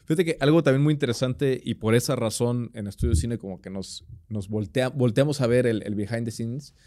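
A man's voice: mid-range voice, fairly quick, warm tone, dry recording, clean studio sound. A Mexican man hosting a podcast interview, chummy and slangy. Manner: chummy, slangy, lots of backchannel. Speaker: podcast host. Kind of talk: casual interview chat.

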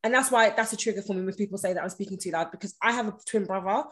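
A woman's voice: mid-range voice, racing, fairly bright tone. A self-assured woman in her thirties speaks directly and with emphasis as a podcast guest. Her voice sits in a middle register, and she talks in an open, conversational way. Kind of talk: casual conversation.

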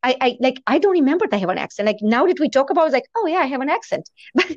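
A woman in her forties with a foreign accent, not a native English speaker, telling her story as an interview guest in a lively, animated way. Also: sits high, rapid-fire, no room sound, dull audio. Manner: animated, lively storytelling with quoted inner thoughts.

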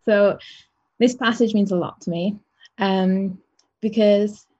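Hesitant husky young woman from Wales. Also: mid-range voice, fairly even intonation, takes it slowly, darkish tone, lo-fi sound. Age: young adult. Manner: hesitant, with many ums and false starts. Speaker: youth church panellist.

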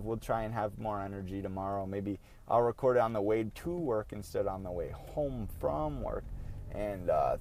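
Young male host, raspy and worn out, rambling casual talk from a moving car, mid-pitched. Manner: rambling, animated, self-interrupting. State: tired. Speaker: podcast host. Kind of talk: casual solo podcast while driving. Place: in a car, driving outdoors. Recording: faint road noise in a car. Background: car and street noise.

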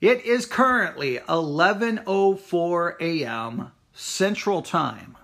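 A church teacher speaks informally and directly to listeners. The pitch moves widely and expressively. The delivery is emphatic and challenging, built on rhetorical questions and repeated phrases. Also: lively intonation, slow pace, gravelly, fairly bright tone. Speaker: Bible teacher at a Baptist church.

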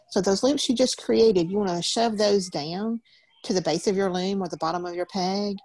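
Brief Gravelly-voiced woman teacher, forties. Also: lowish voice, darkish tone, no echo. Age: 40s. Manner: patient, step-by-step instructing. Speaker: loom knitting teacher. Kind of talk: teaching an online class. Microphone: video call.

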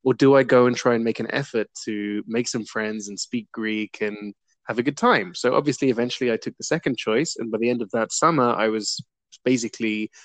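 A young man gives earnest, reflective interview answers, often pausing on "um". His voice sits at an ordinary middle pitch. The recording is dry and close, with no room sound. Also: no room sound. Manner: earnest and reflective, with frequent fillers. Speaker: interview guest.

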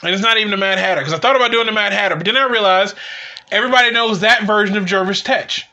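A man's voice: sits high, very fast, bright, forceful tone. A man in his forties chatting casually and emphatically as co-host of a show, rambling with strong opinions and swearing. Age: 40s. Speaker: co-host of a comic book talk show.

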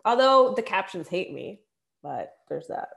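A woman's voice: mid-range voice, sing-song, measured pace, gravelly, fairly bright tone. A woman co-hosting a podcast as a dietitian. She sounds congested, chats casually and laughs often. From Philadelphia area, USA. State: congested, stuffy voice.

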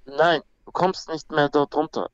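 A man's voice: fairly high pitch, fairly even intonation, measured pace, smooth, no echo, dull audio. A young man from Peru, a guest talking casually and bluntly, with slang and many restarts. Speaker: guest, MMA fighter. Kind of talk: casual conversation.

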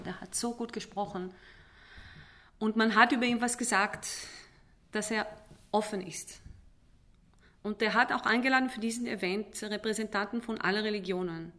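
A woman's voice: medium pitch, a bit bright. A woman from Israel, speaking as an interview guest, reflective and often searching for words in a language that is not her first. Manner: halting, searching for words in non-native German.

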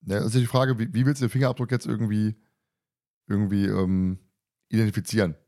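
A man's voice: lowish voice, little pitch movement, fast, warm tone. A man co-hosting a casual discussion, talking in a colloquial, teasing way. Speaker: podcast co-host. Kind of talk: casual discussion of an audio drama.

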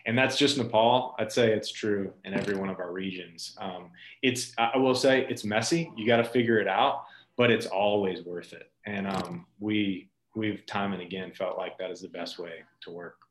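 A man's voice: on the low side, moderate pace. A man speaking as a nonprofit leader and interview guest, telling stories in an earnest, informal, conversational way. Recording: roomy echo.

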